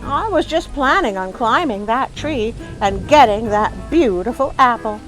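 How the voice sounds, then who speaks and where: A woman's voice: fairly high pitch, lively intonation, measured pace, a bit bright, soft background music, rough recording. A woman storyteller voicing a cunning, stingy blacksmith who bargains slyly in a folktale. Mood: feigned meekness. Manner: sly, bargaining.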